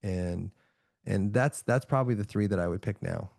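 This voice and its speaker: A man from Canada, a podcast guest and author, speaking calmly and reflectively, with a soothing, soft-spoken manner. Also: lowish voice, normal speed, gravelly, no room sound, studio quality. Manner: calm, reflective, soft-spoken with frequent restarts. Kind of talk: relaxed remote podcast interview.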